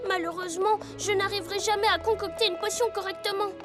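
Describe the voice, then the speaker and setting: A woman's voice: very high-pitched, steady tone, fast talker. A woman voicing a sweet, polite little girl pony, childlike and a bit unsure, in a French cartoon dub. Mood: hesitant, hopeful. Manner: childlike, earnest. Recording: music bed under the voice.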